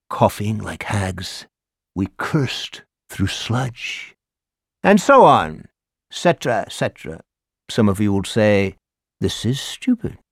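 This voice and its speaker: A man voicing a theatrical, flamboyant high school English teacher who declaims and lectures with grand emphasis. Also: no echo, clean studio sound, close to an everyday voice. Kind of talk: audiobook character voice.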